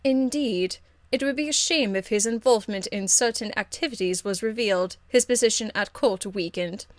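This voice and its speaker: A woman's voice: mid-range voice, moderate pace, no echo, lightly acted. Haughty noblewoman mage for a fantasy game played by a woman: formal, condescending, icily courteous, dry close recording. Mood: cool disdain. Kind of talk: video game character acting.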